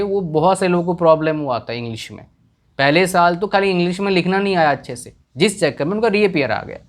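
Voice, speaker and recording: A young man whose first language is a village tongue, giving earnest career advice in a casual talk, often checking if the listener follows. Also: fairly high pitch. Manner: earnest, repeats questions to the viewer.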